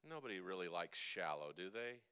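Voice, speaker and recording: Guy coaching singers at a church seminar, bright voice, keeps cracking jokes. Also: low voice, lively intonation, fairly quick, clean tone. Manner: chatty, jokey, asides and playful put-on voices. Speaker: vocal coach. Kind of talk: vocal seminar teaching with jokes.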